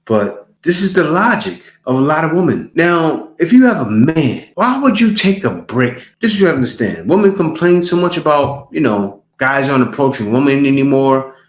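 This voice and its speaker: A man hosting a casual reaction video, commenting on clips in an animated, incredulous way and repeating phrases for emphasis. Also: on the high side, normal speed, few pauses, reverberant.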